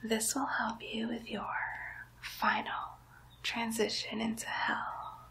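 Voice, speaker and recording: A mischievous young demon girl played by a woman poses as a nurse in an ASMR roleplay. She whispers in a high, bright voice, coaxing and teasing with playful baby talk, and takes her time over the words. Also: monotone, takes it slowly, creaky, exaggerated voice, poor audio. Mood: soothing, sinister.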